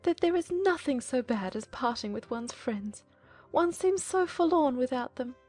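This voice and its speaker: A woman voicing a fretful, excitable middle-aged mother in a dramatized audiobook, gushing and plaintive. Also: sits high, measured pace, soft background music, pitched above the performer's own voice. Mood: mournful, peevish. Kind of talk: dramatized audiobook character acting.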